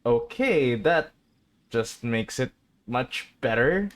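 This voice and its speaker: A man's voice: mid-range voice, huge pitch swings, slow, a little hiss or hum. A man giving casual, joking reactions as a streamer playing through a game. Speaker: gaming streamer. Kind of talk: casual game playthrough commentary.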